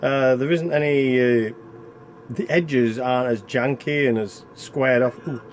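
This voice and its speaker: A man's voice: medium pitch, a little slow, slight background noise. A man hosting a game review with casual, rambling, self-deprecating commentary as he plays. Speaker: video game reviewer and channel host.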